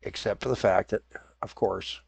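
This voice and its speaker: A man's voice: lowish voice, steady tone, normal speed, gravelly, breathy edge, no echo. A man hosting a casual game commentary, stuffed up from a head cold with a raw throat, rambling and correcting himself as he plays. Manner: rambling, self-correcting, apologetic. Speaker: gaming channel host. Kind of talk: casual let's-play commentary.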